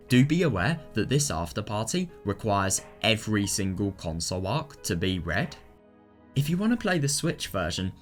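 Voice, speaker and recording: A man presenting a video guide in a scripted, methodical, instructional way. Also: on the low side. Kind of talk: scripted explanatory narration. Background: background music.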